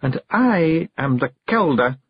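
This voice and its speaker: A man's voice: sits high, sing-song, brisk, slightly rough, dry recording, dull audio, pitched above the performer's own voice, heavily acted. A man voicing a tiny fairy clan wife with a Scottish accent, bossy yet tender, in a storybook reading. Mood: hard, commanding. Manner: sharp and bossy, pleading when worried.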